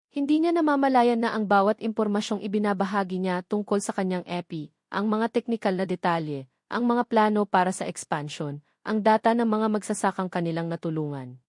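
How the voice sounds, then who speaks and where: A woman's voice: medium pitch, darkish tone, no echo, clean studio sound. A woman with a Filipino accent reading a scripted story as the narrator of an audio drama.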